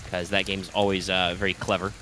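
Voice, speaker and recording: A man's voice: sits low, level tone, a little fast, sharp tone, a little hiss or hum. A man co-hosting a live gaming stream, chatting loosely with lots of "like" and "uh" and the odd swear word. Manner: rambling explainer with frequent "like" and "uh", casual swearing. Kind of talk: casual live-stream chat over gameplay.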